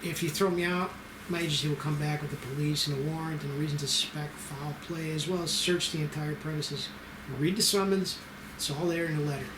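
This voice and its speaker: A man's voice: a little high, steady tone, fairly quick, lightly acted. A man playing a self-assured, wry special agent from child services in an amateur screenplay table read. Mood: firm. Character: cocky, unflappable male federal child services special agent. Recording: roomy echo with clear noise.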